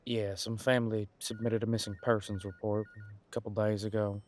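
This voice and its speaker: A man's voice: fairly low pitch, flat delivery, moderate pace, darkish tone, lightly acted. A man playing a casual, wry police detective in an audio roleplay, musing in a conversational, hesitant way. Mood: explaining, thoughtful.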